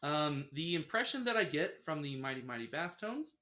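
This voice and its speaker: A man's voice: on the high side, big pitch swings, normal speed, bright, forceful tone. A man co-hosting a podcast, bantering casually in a joking, self-deprecating way. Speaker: podcast co-host. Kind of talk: casual banter about music. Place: small indoor room with couch. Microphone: podcast microphone.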